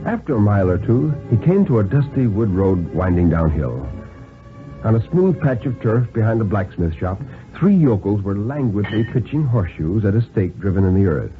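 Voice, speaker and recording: A thin, band-limited 1950s radio recording carries a man reading a thriller story. His delivery is deliberate and dramatic, with a lively, wide-ranging pitch that shapes each phrase. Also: phone-quality sound, faint music behind.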